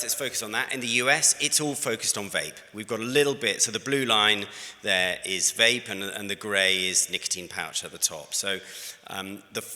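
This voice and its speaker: A man's voice: fairly low pitch, sharp tone. British man presenting in a reverberant hall. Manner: conversational, with frequent fillers and restarts. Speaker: conference speaker. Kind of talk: conference presentation.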